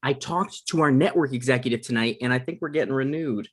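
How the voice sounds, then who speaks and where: A man's voice: mid-range voice, fast talker, natural voice. A man playing an insecure, defensive aspiring comedy writer in his thirties at a casual table read. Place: remote online table read over internet.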